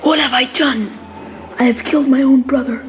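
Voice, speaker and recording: A woman's voice: high-pitched, fairly even intonation, normal speed, a little hiss or hum, pitched above the performer's own voice, close to an everyday voice. A woman voicing a tusked male dragon, defensive and guilt-ridden, in a fantasy storybook reading. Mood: anguished.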